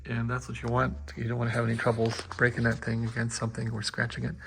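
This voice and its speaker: A man's voice: medium pitch, monotone, fast, creaky, fairly bright tone, slight background noise, rough recording. A man giving a casual hands-on demo of restored equipment, chatty and explaining as he works at an indoor workbench. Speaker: equipment restorer demonstrating a receiver.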